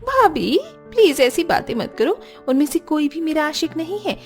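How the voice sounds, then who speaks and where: A woman's voice: sits high, big pitch swings, normal speed, soft background music. A woman voicing a spirited young woman in a cartoon story. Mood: dismissive, playful. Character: spirited young woman back from abroad, admired by neighbourhood boys. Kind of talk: Hindi cartoon voice acting.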